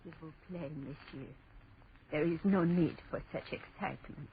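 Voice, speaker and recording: An actress playing a mysterious old woman, speaking slowly and gravely in a 1940s radio horror drama. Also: deep voice. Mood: ominous, weary. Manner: slow, foreboding, riddling. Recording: noisy dull old radio recording.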